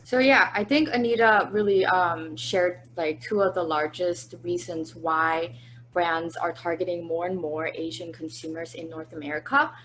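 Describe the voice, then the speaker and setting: A woman's voice: on the low side, steady tone, moderate pace, fairly bright tone, slight background noise, rough recording. A woman appearing as a guest panelist on a conversational webinar from home, polite and articulate with occasional fillers. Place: at home, indoors.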